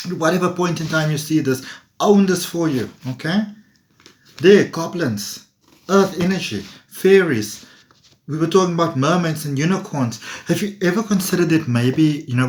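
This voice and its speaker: Playful man, highish voice. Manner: playful, chatty, laughs often. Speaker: tarot reader. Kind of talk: casual tarot reading monologue.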